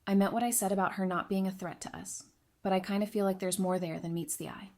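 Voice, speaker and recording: A woman's voice: medium pitch, steady tone, fast talker, a little mellow, natural voice. Actress as thoughtful, candid woman advisor. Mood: thoughtful, guarded. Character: perceptive, candid woman advisor who reads people. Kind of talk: fan audio drama dialogue.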